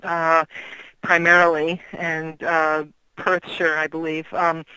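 A woman's voice: low-pitched, little pitch movement, takes it slowly, bright, forceful tone, smooth, dry recording, rough recording. A middle-aged woman guesting on an interview show, chatting in a rambling way with fillers and easy laughs. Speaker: guest author. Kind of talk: casual interview chat.

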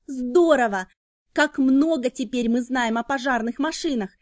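High-voiced woman narrating for children. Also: measured pace, no echo, clean studio sound. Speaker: narrator of children's educational cartoons. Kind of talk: scripted educational narration for children.

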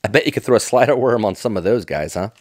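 Low-voiced folksy American man. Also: lively intonation, quick pace, darkish tone, no echo, clean studio sound. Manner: folksy, enthusiastic, nostalgic. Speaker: fishing tackle vlogger. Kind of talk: casual off-the-cuff catalog commentary.

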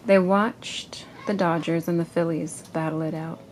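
A woman reading a children's picture book aloud to a child in an expressive storytelling way. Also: fairly low pitch, unhurried. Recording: noisy amateur home recording.

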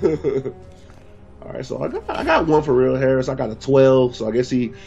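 A man commentating a fighting game stream in casual, excitable slang, sounding nasal from a cold. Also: medium pitch, normal speed, creaky, lo-fi sound. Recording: game music behind the voice.

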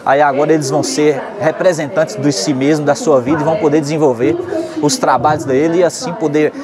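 A young man from Brazil speaks loud and steady in a slightly raised voice. He talks into a lapel mic in an open schoolyard. A crowd chatters clearly behind him. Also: a little high, brisk. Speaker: municipal department official. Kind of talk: on-camera statement. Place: outdoor schoolyard beside a large crowd.

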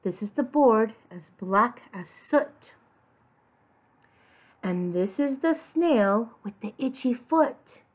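A woman reading a children's picture book aloud like a teacher, with an expressive delivery, recorded at home. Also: fairly high pitch, slow. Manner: expressive, asks listeners questions. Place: living room at home. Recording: dull, phone-like home video audio.

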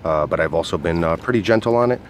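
A man's voice: low-pitched, animated, fast, slight background noise. A man reviewing gear in an informal, hesitant, step-by-step instructional way, as a casual demonstration. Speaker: product reviewer and outdoor coach.

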